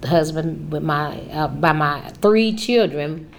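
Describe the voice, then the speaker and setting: A woman in her sixties from Kentucky, answering oral history questions in a hesitant way, with many pauses and restarts. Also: deep voice, vocal fry, a little hiss or hum. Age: 60s. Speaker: interviewee, neighborhood association president.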